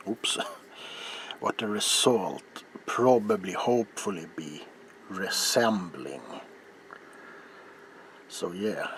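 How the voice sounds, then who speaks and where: A middle-aged man narrating a craft in a soft-spoken, hesitant ASMR style that slips into whispers. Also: deep voice. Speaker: ASMR video maker. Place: small cluttered indoor home workroom. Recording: clear background room noise.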